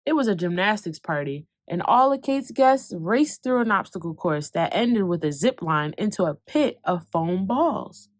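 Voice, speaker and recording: Lady reading a kids' picture book out loud, lots of expression, mid-pitched voice, dry room. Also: no room sound. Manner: expressive, slows for calm moments, speeds up for excitement. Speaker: children's book reader. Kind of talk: read-aloud storytelling.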